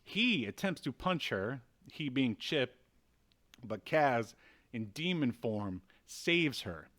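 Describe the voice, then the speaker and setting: A man in his thirties with a mid-range voice talks through a film as co-host of a review show. His delivery is casual and wry, and he moves through the story haltingly. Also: mid-range voice, very animated, fairly bright tone. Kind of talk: casual film recap and commentary. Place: indoors at home, video call.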